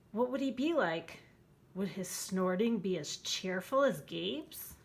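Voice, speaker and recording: A woman with a mid-pitched voice reading aloud for children in a bedtime storybook style. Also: gravelly. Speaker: school staff reading aloud.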